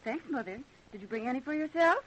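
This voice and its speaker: High-voiced eager young bride-to-be. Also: female voice, lively intonation, fast, a bit bright. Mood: playful, teasing. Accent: American. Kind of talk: old-time radio drama acting. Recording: noisy old radio drama recording.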